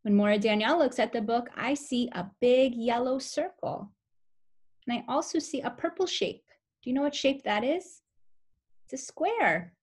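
A young woman reading a picture book aloud to toddlers as a preschool teacher, with simple, expressive phrasing and questions for the listeners. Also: a little high, moderate pace, breathy edge. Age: young adult. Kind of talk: children's story read-aloud.

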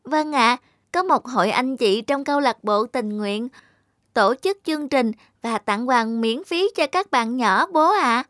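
A woman's voice: a little high, animated, a little slow, fairly bright tone, dry recording, clean studio sound. A woman voicing a sweet, earnest little girl in a cartoon, childlike in manner. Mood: eager, proud. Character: sweet, earnest little girl, eager to please her father. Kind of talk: acted cartoon dialogue.

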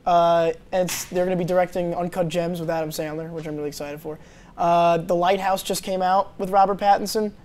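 A man's voice: sits high, fairly even intonation, fairly quick, a little mellow. A young man co-hosting a movie review show, chatting casually with many fillers and restarts, recorded on a lapel mic in a small TV studio. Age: young adult. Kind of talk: casual chat.

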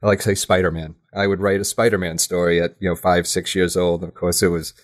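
A man with a deep voice talks as a guest in an interview. His style is casual and reflective. He comes across as modest and candid, feeling his way haltingly through his sentences. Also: level tone. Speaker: podcast guest, audio drama writer.

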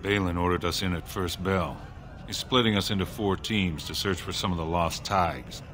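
Deep-voiced, weary dwarf warrior. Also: male voice, normal speed, a bit bright, a little hiss or hum. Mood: grim, weary. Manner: terse, world-weary, blunt. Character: weary, gruff dwarf warrior drinking in a tavern. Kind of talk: video game dialogue acting.

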